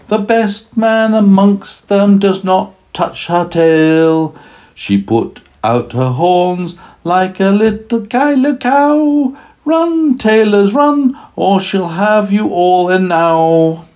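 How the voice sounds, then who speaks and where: A man voicing a chorus of tiny merry mice, singing nursery rhymes in a squeaky falsetto with slow sing-song chanting. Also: darkish tone. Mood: merry singsong.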